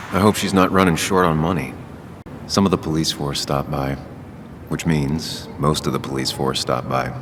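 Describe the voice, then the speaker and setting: A man's voice: low-pitched, noisy background, lightly acted. A man playing a guarded, wry ex-journalist in a video game, speaking in a subdued, dry way. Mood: wry, reflective. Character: brooding ex-journalist man back in his hometown, guarded, wry. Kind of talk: naturalistic video game acting.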